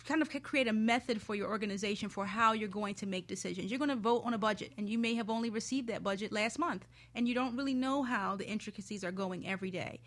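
A woman's voice: medium pitch, fast, few pauses, a bit bright. A woman speaking as a panel guest, instructing conversationally and explaining with examples. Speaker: panel guest, board training specialist.

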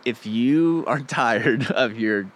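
A man's voice: mid-range voice, slow, a little hiss or hum. A man interviewing a coding school graduate, enthusiastic and encouraging. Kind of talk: upbeat interview.

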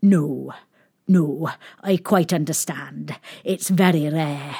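A woman voicing a sly male fake doctor in a storybook, gravely and deliberately feigning expert knowledge. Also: sits low, dark tone, pitched below the performer's own voice, heavily acted. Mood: falsely reassuring.

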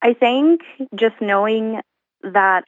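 A woman in her twenties from Uzbekistan, a guest speaking thoughtfully from home, pausing with fillers. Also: on the high side, no room sound, narrow, tinny audio. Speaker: guest, accounting professional. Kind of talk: casual remote interview. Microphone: remote call from home.

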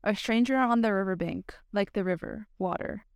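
A woman's voice: medium pitch, moderate pace, vocal fry, no room sound, clean studio sound. A young American woman who is a student guest on a podcast, talking in an articulate and earnest way. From United States. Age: young adult. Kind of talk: podcast guest chat and poetry reading.

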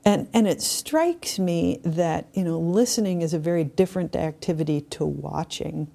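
A woman's voice: fairly low pitch, normal speed, gravelly, warm tone. A middle-aged woman hosting a podcast, talking conversationally and reflectively between her questions. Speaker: podcast host, spiritual director. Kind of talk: conversational interview with reflective asides.

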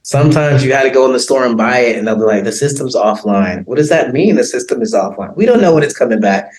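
An easygoing American man talks in a relaxed, rambling way, full of ums and little laughs. His voice sits at an ordinary pitch for a man. The room around him gives it a noticeable echo. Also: fast. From United States. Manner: chatty, laughs often, many fillers. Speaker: interview guest. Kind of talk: casual conversation.

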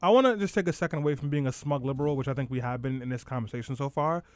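Fast-talking man co-hosting a chat show. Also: mid-range voice, no echo. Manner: fast, animated, tangent-prone. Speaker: podcast co-host. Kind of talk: casual political chat.

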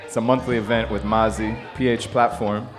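A man's voice: on the low side, little pitch movement, a little fast, music in the background, a crowd in the background. A man hosting a radio show, laid-back and slangy as he introduces a DJ guest. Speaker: radio host. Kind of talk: casual radio interview. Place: storefront music bar.

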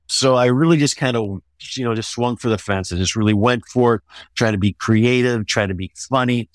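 A man's voice: mid-range voice, dry recording. A man talking as a guest author in an interview, discussing his own work conversationally.

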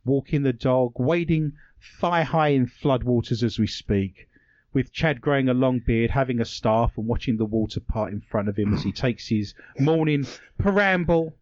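A man's voice: mid-range voice, a little mellow, no echo, muffled recording. A British man hosting a talk radio show, chatting playfully with rambling jokes and asides from a studio. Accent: British English. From Britain.

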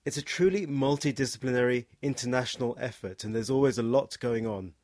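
A man giving the scripted narration that introduces a podcast episode, read smoothly and evenly. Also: medium pitch, brisk, a little mellow.

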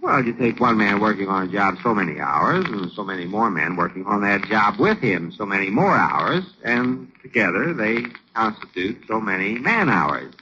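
A man playing an exasperated, sardonic but patient American businessman husband in a 1940s radio comedy, talking in a dry, halting way. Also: lowish voice, sing-song, sharp tone. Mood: puzzled, patient. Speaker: comedy actor. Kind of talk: 1940s radio sitcom acting. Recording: dull, crackly old radio recording.

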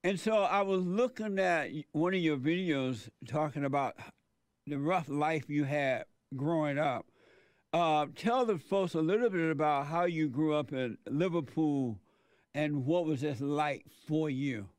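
Chill guy hosting an interview, kinda high voice, dry close sound, enthusiastic. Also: unhurried, no room sound. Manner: easygoing, enthusiastic, brief reactions. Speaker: podcast host.

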